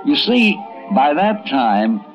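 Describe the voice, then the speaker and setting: A man's voice: fairly high pitch, sing-song, takes it slowly. A man narrating a documentary in a conversational, storytelling way. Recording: dull vintage audio with music behind.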